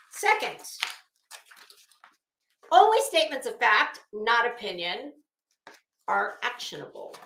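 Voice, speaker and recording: Slow-talking woman in an echoey room. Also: a little high. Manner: sardonic, theatrical, emphatic. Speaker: livestream host and commentator. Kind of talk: casual commentary with dramatic reading of a legal document.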